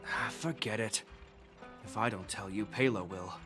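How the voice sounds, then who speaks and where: An actor playing a dutiful, earnest younger brother and military officer in a video game, measured, formal and polite. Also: male voice, on the low side, natural voice. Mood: reluctant, serious. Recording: music clearly behind the voice.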